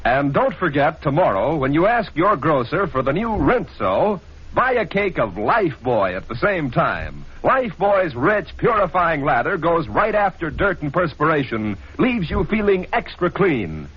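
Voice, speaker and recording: A man's voice: fairly high pitch, big pitch swings, unhurried. A man giving a scripted 1940s radio commercial read on a muffled old recording.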